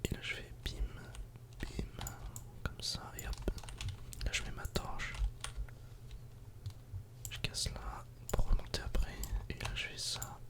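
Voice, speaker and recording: A man whispers a calm, casual ASMR game commentary in a breathy, unvoiced murmur, close to the microphone. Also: slow, pauses often. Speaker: ASMR gaming video creator.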